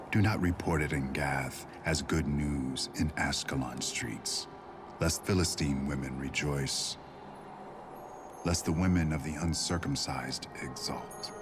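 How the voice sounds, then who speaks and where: An actor playing a grave, stern warrior leader in a dramatised audio Bible, speaking solemnly and deliberately. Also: male voice, extremely low pitch. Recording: clearly audible background noise and ambience.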